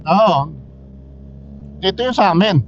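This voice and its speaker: Guy on a bike calling out turns, kinda high voice, muffled intercom with faint music. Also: slow, slight background noise. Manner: short laid-back replies, gives directions. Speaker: motorcycle rider. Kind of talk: casual chat over intercom while riding. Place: on a motorcycle on the road. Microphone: helmet intercom headset.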